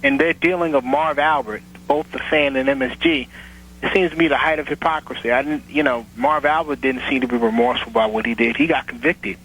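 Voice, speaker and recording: A man's voice: medium pitch, fairly quick, fairly bright tone, low-quality recording. A man calling in to sports radio, arguing insistently and pressing his point. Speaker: radio call-in listener. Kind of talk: argumentative sports talk call.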